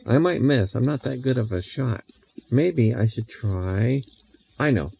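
A man doing a whiny soft-hearted boy. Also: medium pitch, unhurried, vocal fry, slight background noise. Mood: fretful.

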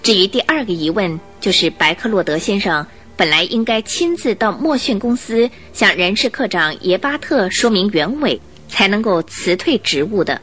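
Casting: female narrator for radio mystery drama; lively intonation, dramatic storytelling, faint music underneath. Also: medium pitch, normal speed, hardly any pauses, breathy edge. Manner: expressive, suspenseful, rhetorical questioning.

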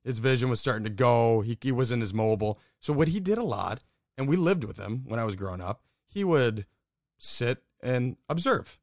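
A man's voice: medium pitch, animated, moderate pace, dry recording, studio quality. A young man from the Buffalo, New York area, co-hosting a casual podcast and telling stories candidly, swearing now and then. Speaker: podcast co-host.

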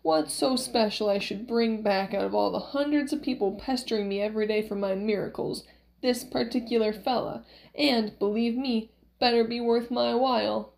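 A woman's voice: fairly high pitch, steady tone, moderate pace, creaky, a little mellow, low-quality recording. A woman voicing a cranky, stubborn old man who haggles and grumbles in an audiobook story, brusque and colloquial. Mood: cranky, skeptical.

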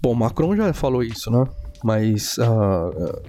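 A man's voice: mid-range voice, big pitch swings, slow pace, warm tone, faint music behind. A man from Brazil with a Brazilian Portuguese accent, hosting a solo podcast in a chatty, rambling way and tagging sentences with "né?". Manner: chatty, rambling, frequent "né?" tags. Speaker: podcast host. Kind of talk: casual solo podcast monologue. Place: home in a small town.

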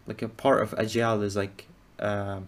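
A man's voice: fairly low pitch, flat delivery, unhurried. A man hosting a casual chat, asking long rambling questions full of fillers. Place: Qatar.

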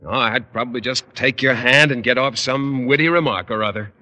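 An actor playing a bashful, earnest American biology teacher who talks hesitantly and stammers, in 1950s radio sitcom style. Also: male voice, mid-range voice, brisk, pushed and bright, big-room sound.

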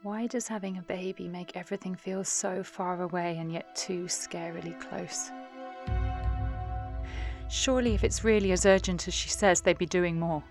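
A woman's voice: on the low side, steady tone, moderate pace, faint music behind, close to an everyday voice. Actress as an anxious, confiding young mother. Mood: anxious, brooding. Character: anxious, searching young present-day mother, tender, dryly humorous. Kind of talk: intimate audio drama monologue and murmured talk.

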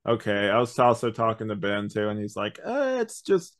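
A man chatting casually as a podcast host, hedging and thinking out loud as he reviews. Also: fairly low pitch, very animated, normal speed.

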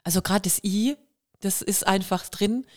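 Lively storytelling German actress. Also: female voice, mid-range voice, measured pace. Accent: light Kurpfalz dialect touches. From Germany, Kirrlach near Waghäusel. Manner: lively storyteller, imitates dialect sounds. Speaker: guest, actress and singer. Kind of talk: casual podcast interview.

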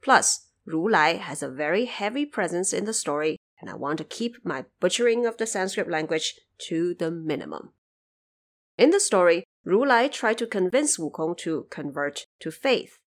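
A woman's voice: fairly low pitch, sing-song, moderate pace. A woman podcast host giving a scripted but informal explanatory narration.

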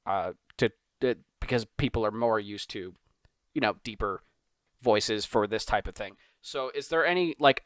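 A man's voice: mid-range voice, a bit bright, clear, resonant tone, no echo. A man hosting a podcast interview, talking in long, rambling, enthusiastic stretches. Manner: rambling, enthusiastic, repeats himself. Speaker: podcast host.

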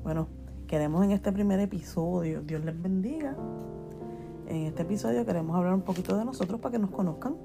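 A middle-aged woman with a Puerto Rican accent talks in a slightly low voice with a gravelly creak. She co-hosts in a casual, devout chatting style, with music clearly audible behind her. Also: a little fast. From Puerto Rico. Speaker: podcast co-host, worship minister. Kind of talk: casual chat with religious interjections.

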